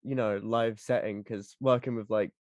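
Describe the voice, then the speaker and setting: A man's voice: lowish voice, fairly even intonation, normal speed, a little mellow, dry recording, clean studio sound. A young man chatting casually as a guest actor, earnest and hesitant, often padding his sentences with "you know". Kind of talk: casual chat.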